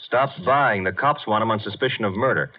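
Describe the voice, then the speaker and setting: An actor playing a cocky, flirtatious detective's assistant in a 1950s radio drama, with breezy, flippant, slangy delivery. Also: male voice, fairly low pitch, brisk, natural voice. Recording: thin, lo-fi vintage radio recording.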